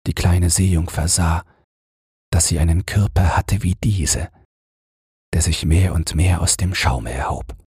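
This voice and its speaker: A man narrating a bedtime fairy tale as an audiobook, in a calm, gentle and measured manner. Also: low-pitched, breathy edge, no room sound, clean studio sound.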